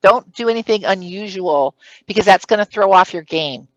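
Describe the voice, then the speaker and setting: A woman's voice: mid-range voice, normal speed, no echo, highs cut off. A woman presenting a conference session on interview preparation. She speaks in a strong, projected voice and lays out emphatic, numbered points. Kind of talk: recorded conference talk giving career advice.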